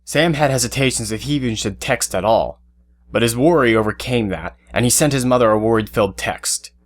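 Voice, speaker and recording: A man's voice: mid-range voice, a little fast. A man giving scripted third-person narration for an audio drama. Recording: faint music under narration. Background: faint music.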